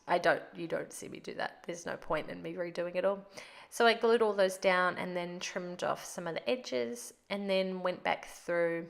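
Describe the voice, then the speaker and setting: A chatty woman narrates a craft project in a loose, rambling voice-over. Her voice sits a little low with a gravelly fry, and she sounds stuffed up from a cold. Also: fairly bright tone. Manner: chatty and rambling, laughs often. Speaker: craft video host.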